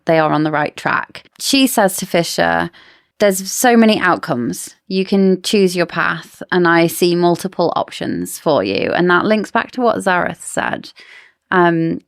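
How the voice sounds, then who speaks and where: A woman's voice: on the low side, no room sound, clean studio sound. A woman co-hosting a podcast, casually discussing books in an explanatory, speculative way. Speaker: podcast co-host.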